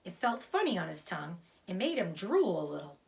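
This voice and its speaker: A woman reading a children's book aloud as an expressive storyteller. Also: on the low side, fairly quick, gravelly.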